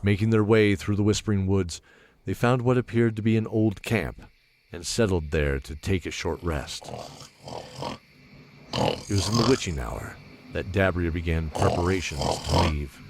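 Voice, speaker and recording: A man narrating a scripted fantasy audio drama in measured storytelling style. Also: low-pitched, animated, gravelly, heavy background noise. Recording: loud music behind narration.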